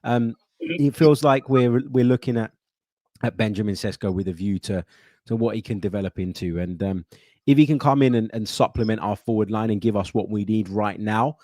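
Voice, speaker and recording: Male podcast host, low-pitched, dry studio sound, brisk and friendly with callers. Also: darkish tone, no room sound. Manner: brisk, chatty, calls people mate. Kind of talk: casual call-in football chat.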